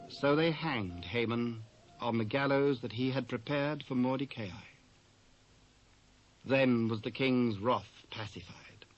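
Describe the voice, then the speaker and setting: A man's voice: mid-range voice, takes it slowly. A British man narrating scripture from a script, as the storyteller in a dramatised reading. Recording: dull lo-fi cassette audio.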